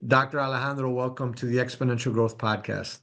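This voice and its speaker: A man's voice: medium pitch, fairly even intonation, fast, a bit bright. Easygoing male podcast host. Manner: loose, many fillers and restarts. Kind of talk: podcast intro and interview.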